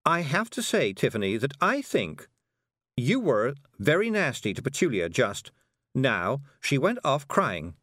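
Man putting on a fussy, higher-pitched kindly woman witch. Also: wildly swooping pitch, a little slow, a bit bright, no echo, studio quality, heavily acted. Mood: concerned. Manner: fussy, earnest, concerned.

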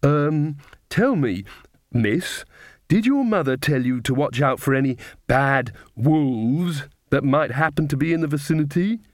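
A man's voice: mid-range voice, expressive, no echo, clean studio sound. A man voicing a timid, earnest woman witch in an audiobook, hesitant and gushingly awkward with children. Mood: coaxing, awkward. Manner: hesitant, halting. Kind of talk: audiobook character voice.

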